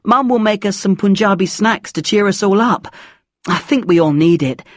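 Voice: mid-range voice, normal speed, no echo, studio quality, close to an everyday voice. A narrator voicing a friendly, take-charge teenage schoolboy in an audiobook story. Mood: rallying, confiding.

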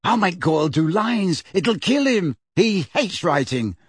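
A man's voice: high-pitched, very animated, a little slow, husky, no echo, studio quality, pitched above the performer's own voice, exaggerated voice. A man voicing a cheeky, sarcastic teenage wizard boy with a casual, grumbling manner in an audiobook story. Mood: gleeful.

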